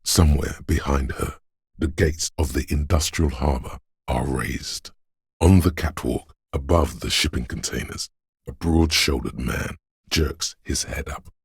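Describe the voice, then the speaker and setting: An actor voicing a brooding, poetic inner sense of a haunted city in solemn, measured, atmospheric game narration, very deep and gravelly. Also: male voice, dry recording, clean studio sound, full character voice. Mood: brooding, ominous.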